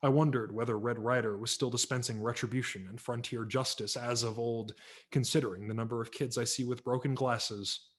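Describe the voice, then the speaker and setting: A man's voice: mid-range voice, brisk. A man, a professional audiobook narrator, giving a vivid dramatic reading of a humorous memoir over a video call. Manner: vivid, expressive storytelling.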